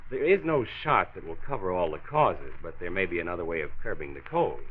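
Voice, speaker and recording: An actor playing a calm, authoritative American health doctor in a 1940s radio drama, measured and instructive, stressing his key points. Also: low voice. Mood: patient, explaining. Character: calm, authoritative male county health doctor, patiently explaining. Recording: tinny, noisy 1940s radio recording.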